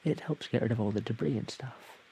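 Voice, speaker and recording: A man's voice: mid-range voice, a little fast, vocal fry, mellow, close to an everyday voice, low-quality recording. A man voicing a shy, awkward, friendly slime creature, stammering hesitantly in an audio roleplay addressed to the listener. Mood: explaining. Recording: hissy background noise.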